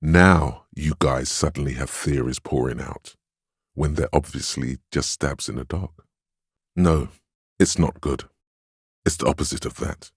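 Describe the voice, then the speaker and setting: Very deep, grave voice of willpower. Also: male voice, husky, no room sound, clean studio sound, full character voice. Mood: stern, wary. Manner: deliberate, grave, admonishing. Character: stern, weary inner voice of willpower, protective and wry. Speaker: video game voice actor. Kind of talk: dramatic second-person game narration and inner-voice dialogue.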